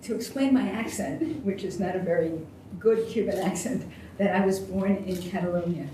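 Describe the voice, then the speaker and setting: A woman giving consecutive interpretation in English, hesitant with many fillers, her phrases trailing off softly. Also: medium pitch, unhurried. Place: university lecture hall. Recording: distant echoey hall with faint noise.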